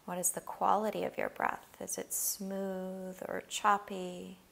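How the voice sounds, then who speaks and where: A woman leading a guided body scan meditation, giving gentle, unhurried instructions with long pauses. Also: mid-range voice, level tone, gravelly.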